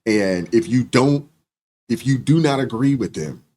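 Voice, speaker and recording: A man hosting a reaction channel, talking relaxed commentary and hedging with "you know" and "um". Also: mid-range voice, measured pace. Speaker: channel host reacting to clips.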